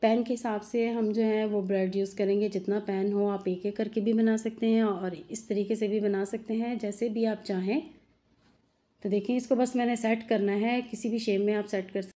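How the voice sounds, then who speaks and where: Woman, mother, cooking channel host, chatty, homely, warm mellow voice. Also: medium pitch, fairly even intonation, moderate pace. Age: adult mother. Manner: chatty, homely, addresses viewers directly. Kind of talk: home cooking tutorial voice-over.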